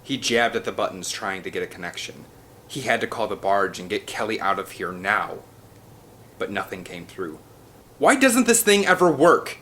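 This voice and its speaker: A man's voice: sits low, steady tone, normal speed, bright, forceful tone, slight background noise. A man reading a children's adventure novel aloud with an emphatic, theatrical delivery. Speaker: audiobook reader. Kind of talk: dramatic scripted narration of a children's adventure novel.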